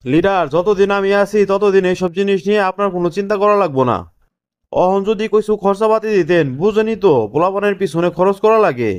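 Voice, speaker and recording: A scheming local party organizer from a cartoon, voiced by a man. He has a fairly high, clear voice and speaks colloquial Bangladeshi in a pushy way. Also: fairly quick, close to an everyday voice. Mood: ingratiating. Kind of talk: cartoon dialogue.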